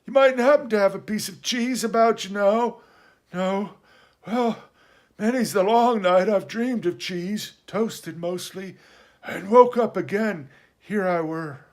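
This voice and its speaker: A man voicing a half-crazed, sly and childish marooned sailor in an adventure storybook, hoarse, excitable and rambling in rustic seafaring dialect. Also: sits high, slow, pitched above the performer's own voice. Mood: wistful, eager. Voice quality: hoarse, awkward.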